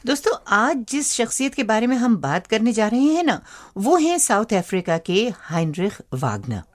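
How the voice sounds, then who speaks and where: A woman's voice: fairly high pitch, big pitch swings, moderate pace. A woman co-hosting a radio show, chatting to listeners and her partner. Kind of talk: scripted radio presenting.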